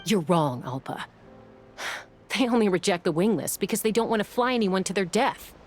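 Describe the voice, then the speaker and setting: A woman as a bold, expressive warrior woman. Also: medium pitch, moderate pace, natural voice. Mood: defiant. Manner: firm, blunt, challenging. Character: defiant young warrior woman, principled and bold. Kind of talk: video game voice acting. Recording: music under the voice.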